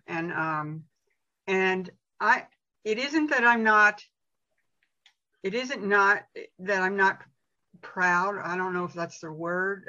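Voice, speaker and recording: A woman's voice: medium pitch, sharp tone, lo-fi sound. An older woman sharing hesitantly in a support group, searching for words and joking at her own expense. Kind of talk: personal share in a group meeting.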